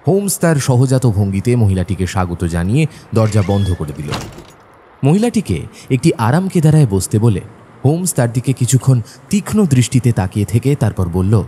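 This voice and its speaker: An actor playing a detective's observant companion, narrating the story dramatically in an audio drama. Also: male voice, mid-range voice, very animated, moderate pace, warm tone, a little hiss or hum, close to an everyday voice. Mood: descriptive, suspenseful.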